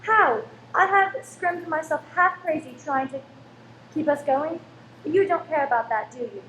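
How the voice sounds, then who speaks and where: High-pitched fretful London landlady played by a woman. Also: unhurried, a bit bright. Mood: bitter, worried. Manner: anxious, complaining, dramatic. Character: fretful, careworn Victorian London landlady, sharp-tongued wife. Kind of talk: old-time radio play acting. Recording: roomy stage recording, faint background noise.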